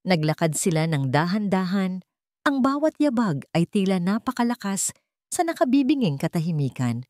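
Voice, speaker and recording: Filipino lady doing dramatic story narration, lowish voice, really lively pitch, dry close sound. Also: no echo.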